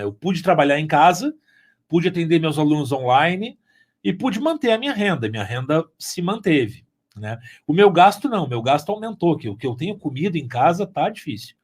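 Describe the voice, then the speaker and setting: Homem gaúcho de voz mais aguda. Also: male voice, high voice. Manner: often tags phrases with "né". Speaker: interview guest, musician and music teacher. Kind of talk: casual conversational storytelling.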